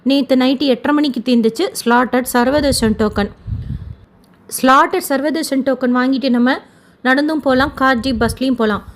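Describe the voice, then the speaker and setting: High-voiced woman giving updates. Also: fairly quick. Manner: informative, mixes English words into speech. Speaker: channel host giving pilgrimage updates. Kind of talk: informal news update narration.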